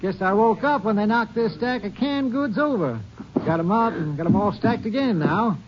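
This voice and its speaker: A man's voice: high-pitched, expressive. A man playing a chatty, folksy rural storekeeper in a 1950s radio drama, rambling as he talks. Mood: rambling, obliging. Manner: rambling, folksy, drawn-out storytelling. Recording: muffled, very noisy old 1950s radio recording.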